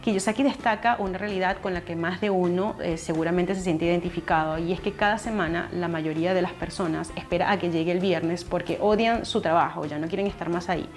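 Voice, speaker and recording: A woman's voice: lowish voice, steady tone, fairly quick, few pauses, soft background music. A young woman hosting a finance video, explaining steadily and speaking straight to the viewer from a couch at home on a lapel mic. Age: young adult. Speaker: finance channel host. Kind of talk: explanatory talk to camera. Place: living room, seated on a couch indoors.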